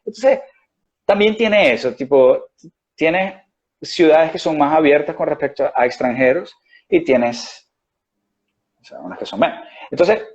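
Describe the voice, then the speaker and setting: A man with a Venezuelan accent speaks in a fairly high voice. He explains at length, restarting his sentences and filling gaps with "eh". The audio is muffled and lo-fi. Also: animated, a little slow, long pauses, a little mellow. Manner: rambling, frequent restarts and fillers. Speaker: guest doctor. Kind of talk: conversational interview.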